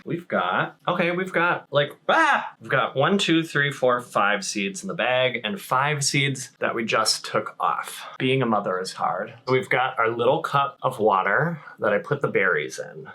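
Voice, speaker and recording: Bright-voiced, playful man. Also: medium pitch, very animated, a little slow, few pauses. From Wisconsin, United States. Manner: playful, self-deprecating, rambling asides. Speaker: plant vlogger and shop owner. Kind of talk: casual how-to vlog chat.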